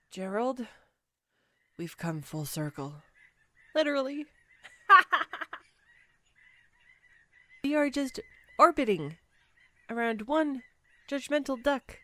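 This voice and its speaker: A woman's voice: fairly high pitch, bright, forceful tone, dry recording, exaggerated voice. A woman playing a clumsy, giggly, self-deprecating girlfriend on a date, in an intimate one-sided roleplay audio drama. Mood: playful. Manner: flustered, self-deprecating, chatty with bursts of laughter.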